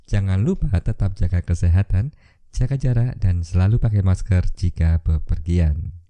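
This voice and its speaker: A man's voice: low-pitched, normal speed, warm tone, dry recording. A man presents a scripted educational video, instructing viewers directly. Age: 30s. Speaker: host of an insurance tips channel.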